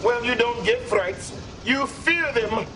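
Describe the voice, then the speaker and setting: A creepy, courtly elevator operator speaks in a high, slow, ominous voice. It is theatrical sketch comedy acting, recorded with very noisy ambience. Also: male voice, lively intonation, full character voice. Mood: ominous, teasing. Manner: theatrical, ominous, deliberately drawn-out delivery.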